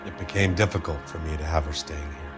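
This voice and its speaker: Weary 40s fella, real low voice, subdued, faint music behind. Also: male voice, fairly even intonation, brisk. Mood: wistful, subdued. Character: downbeat, world-weary male friend of a murdered woman. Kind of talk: 1940s crime game dialogue acting.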